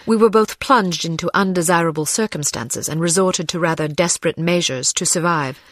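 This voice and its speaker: A woman's voice: on the low side, normal speed, fairly bright tone, no room sound, lightly acted. A woman playing a composed, dryly witty young secret agent in a spy game. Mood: reflective. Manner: dry, composed. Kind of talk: scripted spy game dialogue.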